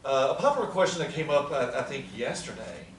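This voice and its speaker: Soft-spoken man leading a group talk. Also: mid-range voice, very animated, fast, slight background noise. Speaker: facilitator of a feedback session. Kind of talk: casual discussion. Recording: echoey room from far off, crowd.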